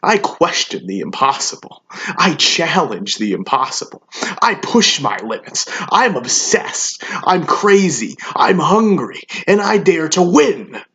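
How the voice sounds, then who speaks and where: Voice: mid-range voice, big pitch swings, takes it slowly, fairly bright tone, exaggerated voice. An actor playing an obsessed, aggressive fighter who challenges a rival with emphatic, confrontational questions in a dramatic monologue. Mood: fierce, defiant. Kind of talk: intense motivational dramatic monologue.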